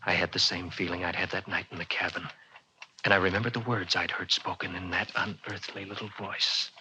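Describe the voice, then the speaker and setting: A man's voice: sits low, brisk, a little hiss or hum, poor audio. An actor playing a card-playing man who narrates his own eerie story, in 1940s radio style. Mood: uneasy narration. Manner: lively, jaunty, turns tense. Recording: old radio drama with loud music.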